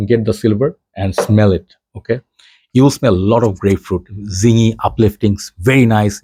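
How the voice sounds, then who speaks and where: Chatty man with a low, mellow voice. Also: no room sound. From Bangladesh. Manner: rambling, chatty, laughs between points; frequent fillers. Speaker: fragrance reviewer. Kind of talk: casual chat to camera. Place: home in Dhaka.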